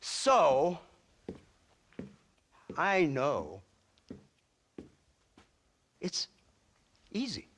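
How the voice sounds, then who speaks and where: A man's voice: fairly high pitch, wildly swooping pitch, drawn-out words, long pauses, fairly bright tone, a little hiss or hum, exaggerated voice. A man playing a sneering, corrupt middle-aged government man in a game cutscene, condescending and mock-friendly as he monologues. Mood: smug, mocking. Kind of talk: video game cutscene acting.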